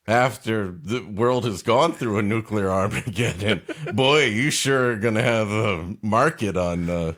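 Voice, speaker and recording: A man's voice: on the low side, fairly bright tone. A man co-hosting a comedy podcast, riffing in casual, wry commentary. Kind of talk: casual comic commentary and banter.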